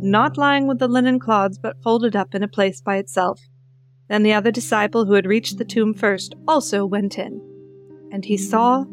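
A woman podcast co-host who moves between reading scripture and earnest, enthusiastic chat. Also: medium pitch. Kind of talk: Bible reading and casual group chat.

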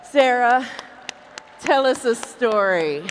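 A woman's voice: a little high, animated, slow pace, slight background noise. A woman co-hosting a live podcast stage show with casual banter. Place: convention hall stage, over PA.

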